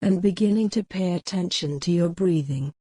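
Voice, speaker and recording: A woman narrating a guided meditation in a measured, instructional manner, pausing gently between phrases. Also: fairly low pitch, husky, no room sound.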